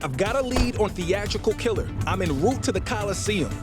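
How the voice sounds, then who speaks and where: Casting: earnest young game cop, high register, clipped urgent delivery, music behind. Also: male voice, animated, a little fast. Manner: urgent, clipped radio talk. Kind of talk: video game police drama acting.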